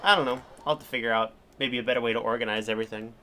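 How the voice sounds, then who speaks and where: A man's voice: mid-range voice, rapid-fire, bright, forceful tone. A man giving casual live commentary as a game streamer, rambling and self-deprecating. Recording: livestream with faint game music.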